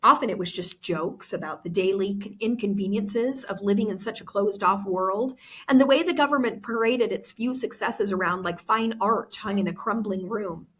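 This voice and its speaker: A woman's voice: mid-range voice, normal speed, fairly bright tone, slightly rough. A woman teacher reading a novel aloud expressively to students. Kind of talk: expressive book read-aloud.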